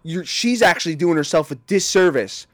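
A man's voice: fairly high pitch, lively intonation, normal speed, a bit bright, no room sound, clean studio sound. A man co-hosting a podcast, rambling and crude in casual comedic chat, laughing a lot. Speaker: podcast co-host.

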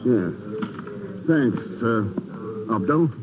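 An actor playing a cool, commanding secret agent in a 1950s radio drama, terse, dry and authoritative. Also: male voice, medium pitch, expressive, very slow, a crowd in the background, poor audio. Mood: polite. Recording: old 1950s radio recording.

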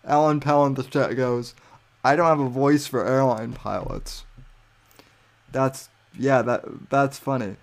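Young male podcaster, unhurried delivery, mid-pitched, rambling and cocky. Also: fairly even intonation, gravelly. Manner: rambling, meandering, self-assured. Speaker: podcast host. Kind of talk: solo livestream podcast, reading chat aloud.

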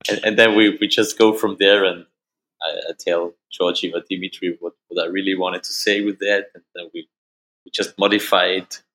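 A man from Austria whose first language is German, speaking English with an Austrian German accent as an interview guest, halting and repeating words, often laughing. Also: deep voice, level tone, fairly bright tone, low-quality recording. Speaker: musician guest. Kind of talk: casual interview answers.

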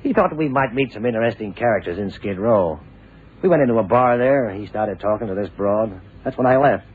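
An actor playing an evasive young lawyer in a radio drama, talking in a hesitant, defensive, colloquial way. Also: male voice, fairly low pitch, expressive, brisk, narrow, tinny audio, lightly acted. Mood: defensive, casual. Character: evasive, ambitious young American male lawyer. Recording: thin, noisy 1960s radio drama recording.

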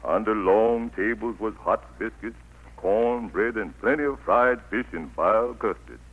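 An actor playing a kindly elderly preacher with a Southern US accent, telling stories in a folksy, patient way in 1940s radio style. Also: male voice, on the low side, unhurried, phone-quality sound. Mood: musing, storytelling. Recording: old 1940s radio broadcast, music behind.

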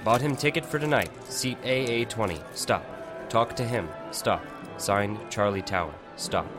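A man plays an obedient backstage assistant in an old-time radio drama. His voice sits a little low and stays polite and measured, with music in the background. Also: close to an everyday voice. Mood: dutiful. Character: deferential, dutiful male stage assistant.

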